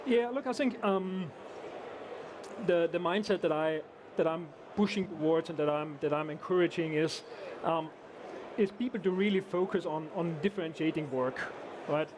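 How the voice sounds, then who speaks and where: Austrian-born engineer, high-pitched, halting casual talk over loud event noise. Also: male voice. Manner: hesitant, many restarts and fillers. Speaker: guest engineer. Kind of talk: casual conversational interview. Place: conference event.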